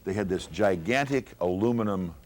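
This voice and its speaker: An older man, a veteran radio personality reminiscing in an animated, folksy way in a group interview. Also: deep voice, moderate pace.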